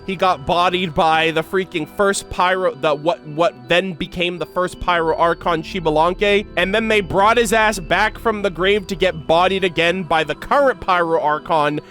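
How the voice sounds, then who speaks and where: A man's voice: sits high, steady tone, normal speed, hardly any pauses, a bit bright, faint music behind. A man doing casual reaction commentary and reading game lore aloud, as an enthusiastic fan who uses slang. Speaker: gaming reaction video creator.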